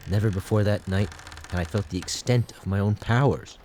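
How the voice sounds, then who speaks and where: An actor playing an obsessive madman who confesses to murder in intense, theatrical gothic narration, swinging between hushed and loud delivery. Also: lowish voice, brisk, a little hiss or hum, natural voice. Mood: tense, secretive. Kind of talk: dramatic first-person gothic narration, audio drama.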